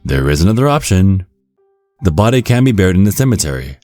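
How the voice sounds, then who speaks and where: A man with a very low voice delivers scripted ASMR narration like a guide to the listener. His intonation moves in wide, lively swings while staying measured and instructional. The sound is close and dry, with soft music behind him. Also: no echo.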